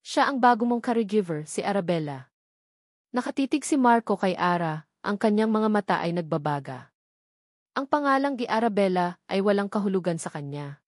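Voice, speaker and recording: A woman's voice: medium pitch, darkish tone, no echo, studio quality. Woman narrating a dramatic love story.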